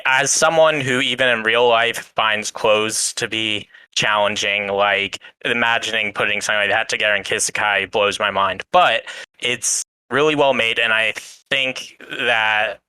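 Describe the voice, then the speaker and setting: A man's voice: lowish voice, fairly even intonation, a little slow, bright, forceful tone, clean tone, dry recording, poor audio. A man talking casually as a guest reviewer, giving rambling explanations with plenty of qualifiers. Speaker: guest reviewer on a fan podcast. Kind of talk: casual discussion.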